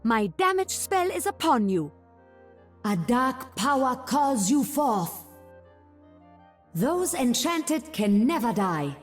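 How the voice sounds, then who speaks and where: A woman's voice: on the high side, sing-song, slow, long pauses, soft background music, heavily acted. A woman voicing a sly, commanding witch in a video game, theatrical and sinister in manner. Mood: triumphant, wicked. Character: sly, commanding witch who bargains and casts spells. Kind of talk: video game character voice lines.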